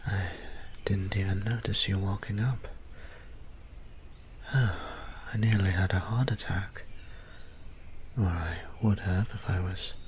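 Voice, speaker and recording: A man playing a brooding, formal vampire priest in an audio drama, speaking softly and breathily with measured, old-fashioned diction. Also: low-pitched, steady tone, slight background noise. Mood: startled, relieved. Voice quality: soft, breathy, whispery. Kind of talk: scripted intimate roleplay audio drama monologue.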